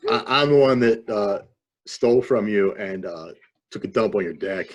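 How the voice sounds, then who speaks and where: A man with a husky voice tossing casual, joking remarks into a group chat on a video call. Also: lowish voice, moderate pace, highs cut off. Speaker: podcast panelist.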